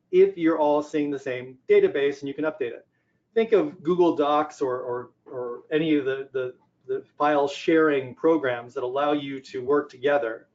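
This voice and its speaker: A man's voice: a little high, a little mellow. An older man giving a conversational presentation on business technology, explaining things by posing questions and answering them. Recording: dull, lo-fi audio.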